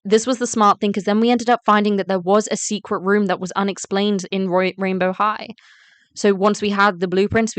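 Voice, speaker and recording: A woman's voice: medium pitch, smooth, no room sound, lightly acted. A woman playing a teenage fashion-school girl, narrating her story in scripted first person.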